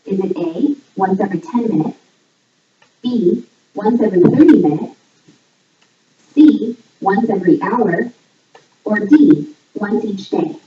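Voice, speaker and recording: A woman hosting a trivia game in a scripted voice-over, reading questions and answers in a measured, item-by-item way. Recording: echoey, distant, muffled low-fidelity playback. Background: voice audio played back in the room while filming.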